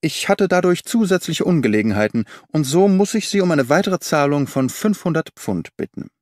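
A man reading a detective story aloud as an audiobook, giving each quoted character a slightly different tone in a measured storytelling manner. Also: on the high side, lively intonation, no echo, clean studio sound. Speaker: audiobook narrator. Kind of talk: scripted audiobook narration with voiced dialogue.

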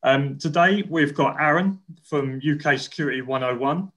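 A man's voice: fairly high pitch, normal speed, a bit bright. A young man hosting an interview over a video call, talking in a chatty, rambling way with frequent restarts. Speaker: interview host. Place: living room indoors. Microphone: webcam on video call.

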